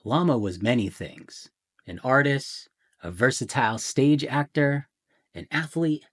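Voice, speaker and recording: A man reading a children's picture book aloud in a theatrical way, with deliberate pauses and emphasis. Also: medium pitch, fairly bright tone. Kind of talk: dramatic children's storybook narration.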